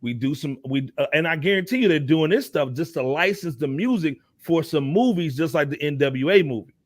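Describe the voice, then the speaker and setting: A man's voice: a little high, expressive, a little fast, no room sound. An American man hosting a casual hip hop panel discussion, talking emphatically in slang and repeating phrases. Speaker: talk show panel host.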